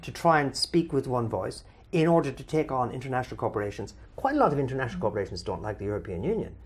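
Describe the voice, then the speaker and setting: A man from Ireland hosting a conversational discussion and answering viewer questions, with a measured, lively delivery and drawn-out emphasis. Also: medium pitch.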